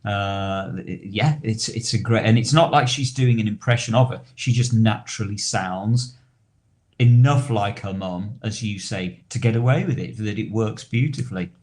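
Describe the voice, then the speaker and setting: A man co-hosting a podcast in casual discussion, talking wordily and haltingly as he repeats and rephrases himself. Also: fairly low pitch, darkish tone. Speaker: podcast co-host.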